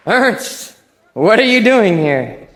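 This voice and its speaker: An actor playing a young street demagogue who rallies a crowd to march. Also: male voice, high-pitched, big pitch swings, slow, a bit bright, a little hiss or hum, exaggerated voice. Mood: pleading. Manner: rousing, then pleading. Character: young man, hate-mongering street demagogue. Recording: echoey hall sound.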